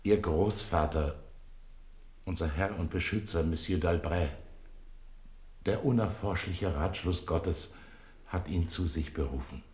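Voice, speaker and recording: Radio play role: royal attendant, low register, solemn, anxious deference, echoey room sound. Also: male voice, unhurried, long pauses, darkish tone. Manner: formal, solemn. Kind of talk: German radio play acting. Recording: roomy, echoing radio drama sound.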